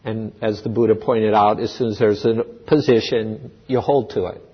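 A man gives a slow, reflective dharma talk in a meditation hall. His voice sits low and a little husky. The recording sounds dull and lo-fi, with a long reverb trailing off the walls. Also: fairly even intonation. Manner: slow, thoughtful, with restarts and pauses. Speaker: dharma teacher.

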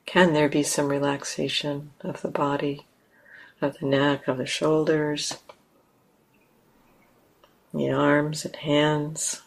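Very slow, low-voiced woman guiding meditation. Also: little pitch movement, creaky, poor audio. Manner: gentle, unhurried, with long pauses. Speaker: meditation teacher. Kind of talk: guided meditation.

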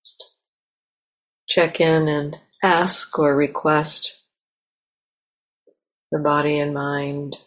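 Slow-paced woman guiding a meditation. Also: low-pitched, flat delivery, rough recording. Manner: gentle, halting, with repeated soft invitations. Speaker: meditation teacher. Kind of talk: guided meditation, unscripted and spoken in pauses. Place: meditation center talk.

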